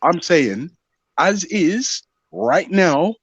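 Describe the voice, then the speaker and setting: Guy on a voice chat panel, talks real slow, voice jumps around a lot, dry dull mic. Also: a little high, husky, no echo. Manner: slow, deliberate, lively swings. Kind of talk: online panel debate.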